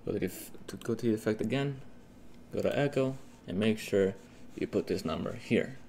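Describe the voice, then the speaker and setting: A man hosting a casual step-by-step software tutorial, talking informally and a little haltingly, as a host guiding viewers. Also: fairly low pitch, gravelly. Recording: steady noise behind the voice.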